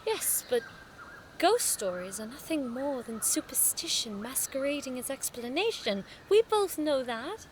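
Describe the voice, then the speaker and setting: A woman's voice: high voice, sing-song, a little slow, a little hiss or hum, rough recording. A woman playing a haughty, playful young noblewoman in an audio drama, speaking in an eloquent, theatrical, teasing way. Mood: lecturing.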